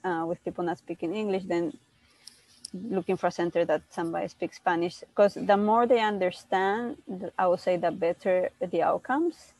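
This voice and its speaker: Woman doctor on a video call, kinda low voice, dry sound, lots of restarts. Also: darkish tone, no room sound, low-quality recording. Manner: halting, many restarts, switches between English and Spanish. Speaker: doctor at a cystic fibrosis center. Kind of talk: answering questions in a video call presentation.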